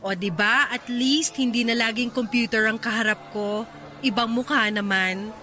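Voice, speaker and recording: A woman with a Filipino accent playing a hardworking, playful young woman in a radio drama, teasing and expressive as she chats. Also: on the high side, normal speed.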